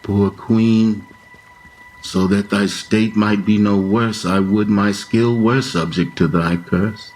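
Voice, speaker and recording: A man playing a grave, philosophical gardener in a Shakespearean radio play, speaking sorrowful verse in a measured, solemn way. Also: fairly low pitch, fairly even intonation, darkish tone, a little hiss or hum, rough recording. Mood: pitying, sorrowful.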